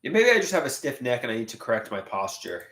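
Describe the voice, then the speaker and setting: Man, late thirties, stuffed nose, halting repeated words, lively pitch swings, echoey room. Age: 30s. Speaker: livestream host. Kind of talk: casual livestream chat reading viewer comments.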